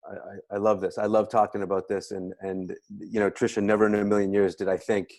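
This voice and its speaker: A man's voice: fairly low pitch, level tone, fast, a little mellow, dry recording. An American man giving a casual testimonial talk as a guest speaker, conversational and rambling as he repeats his phrases. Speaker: guest speaker, spine clinic doctor.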